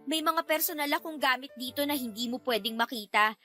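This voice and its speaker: A defensive, sassy young Filipina in a radio drama. Her voice is high and bright, with indignant comebacks and a Filipino accent, over a music bed. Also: brisk. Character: feisty, easily offended young woman, defensive party guest.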